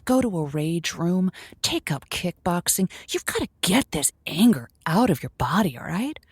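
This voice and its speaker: Gushing, flustered radio advice host, close and dry. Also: female voice, fairly low pitch, sing-song, breathy edge, no echo, heavily acted. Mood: earnest, fired up. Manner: effusive, halting with stammers and repeats. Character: gushing, flustered woman hosting lonely-hearts radio show. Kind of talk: improvised audio comedy, radio host patter.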